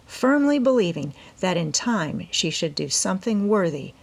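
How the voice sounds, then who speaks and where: A woman's voice: fairly low pitch, big pitch swings, normal speed, gravelly. A woman narrating a classic novel as a scripted audiobook.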